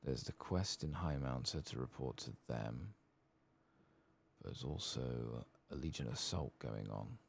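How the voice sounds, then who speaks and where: A man with an extremely deep, husky voice speaks softly in the ASMR style of a game streamer. His gravelly murmur is unhurried, yet it moves up and down a good deal as he talks himself through the play. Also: lively intonation. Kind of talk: soft-spoken ASMR game playthrough.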